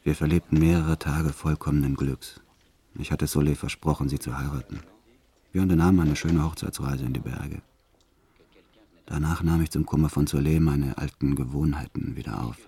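An actor playing a violent career criminal who narrates his life in a radio play, brutal yet tender, in a measured, matter-of-fact way. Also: a bass voice, warm tone, breathy edge, exaggerated voice.

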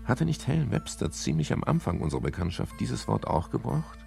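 An actor playing a world-weary private detective in a German crime radio play, wry and measured, with a gravelly, husky voice. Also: male voice, fairly low pitch, big pitch swings, faint music behind, exaggerated voice. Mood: reflective. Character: world-weary male private detective narrating his case. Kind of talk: German crime radio play, first-person narration and dialogue.

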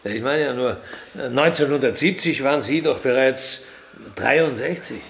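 A man's voice: mid-range voice, unhurried, gravelly, a bit bright, a little hiss or hum. An actor playing a dry, long-suffering man in a radio drama, speaking politely with understated hesitation. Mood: skeptical. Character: dry, long-suffering man reluctantly tending an old puppeteer.

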